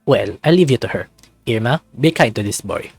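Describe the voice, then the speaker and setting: A man voicing a caring, gently bossy male lab colleague in a visual novel. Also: mid-range voice, expressive, a little fast, vocal fry, slightly rough, no echo, faint music behind. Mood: proud, polite.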